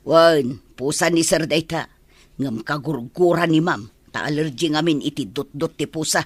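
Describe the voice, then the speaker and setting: A senior maid in a radio drama, with a rough, husky voice that sits lowish for a woman. She is bossy and grumbling, impatient and sharp with her tongue. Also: moderate pace, dry recording. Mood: irritated, bossy.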